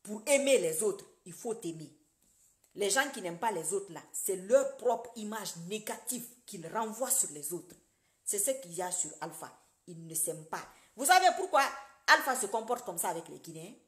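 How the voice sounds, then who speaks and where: A woman lectures her online audience in a preachy, animated way, with loud emphatic bursts and quiet muttered asides. Her voice sits in a middle pitch. The sound is roomy and echoey, recorded on a phone or webcam. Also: normal speed. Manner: animated, preachy, addresses viewers directly. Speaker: social media commentator. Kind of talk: casual political monologue to followers.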